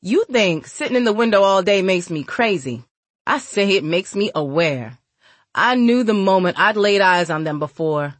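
A woman's voice: mid-range voice, expressive, moderate pace, dry recording, lightly acted. Streetwise older woman acted by a female reader, icy and stern, slangy audiobook character, dry close recording. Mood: sly. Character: cold, tough, streetwise old woman.

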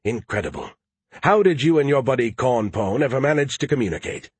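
A man's voice: medium pitch, expressive, fairly quick, slightly rough, no room sound. A man voicing a smug, condescending male artificial intelligence in a pompous, mocking, theatrical way for a story.

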